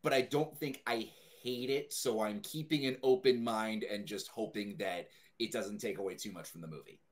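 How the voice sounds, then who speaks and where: A man's voice: fairly low pitch, normal speed. A man talking casually and enthusiastically as a panelist on a podcast. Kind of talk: casual chat.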